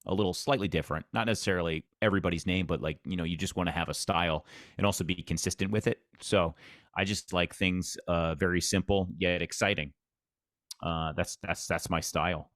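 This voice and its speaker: A man who works as an arena PA announcer, talking earnestly in a casual interview with a deep voice. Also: steady tone, brisk, a bit bright, no echo, studio quality. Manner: earnest, plainspoken, repeats himself.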